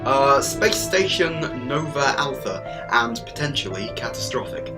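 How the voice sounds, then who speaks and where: Man playing quirky alien adventurer, audio drama, swooping pitch, theatrical stammers and bursts, music underneath. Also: big pitch swings, a little slow. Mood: firm, protective. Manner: theatrical, hesitant stammers, abrupt emphatic bursts. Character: the Doctor, quirky alien time-travelling adventurer, male.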